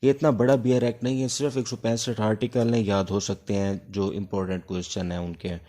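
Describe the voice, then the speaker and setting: A man's voice: lowish voice, level tone, fairly quick, mellow. A Pakistani man giving an instructive, exam-focused law lecture, repeating key points as he explains and dictates. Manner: instructive, repeats key points, switches between Urdu and English.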